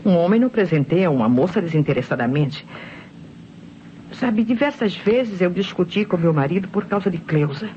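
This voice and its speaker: An actress playing a frightened, evasive widow with anxious, insistent manner in old radio drama style. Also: female voice, on the low side, lively intonation, normal speed, mellow, natural voice. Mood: aggrieved. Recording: muffled, faintly noisy old radio recording.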